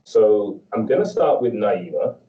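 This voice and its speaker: Deep-voiced male moderator on a video call, measured and chatty, heard from afar in an echoey room. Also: animated, warm tone. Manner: measured, conversational, fond of "right?". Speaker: panel moderator. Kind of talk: hosting an online panel. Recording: dull, distant, roomy call audio.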